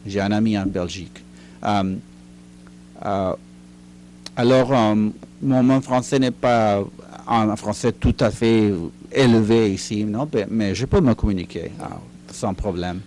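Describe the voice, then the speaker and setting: An older retired man, a guest in a studio interview, answering slowly with many fillers and restarts. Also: on the low side, a little mellow, a little hiss or hum. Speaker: guest, retired teacher and writer. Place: recording studio.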